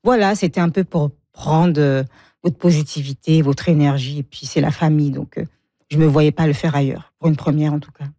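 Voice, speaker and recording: A woman's voice: low voice, fairly even intonation, moderate pace, mellow, no room sound, clean studio sound. A woman in her forties from Congo, a guest speaking frankly about her life and often laughing. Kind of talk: emotional personal interview.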